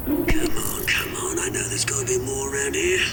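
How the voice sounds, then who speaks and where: A man playing a greedy, brash criminal with a creaky voice in a video game. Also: very high voice, huge pitch swings, fairly quick, recorded from a distance, over music, heavily acted. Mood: greedy, dismissive. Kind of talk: dramatic video game acting.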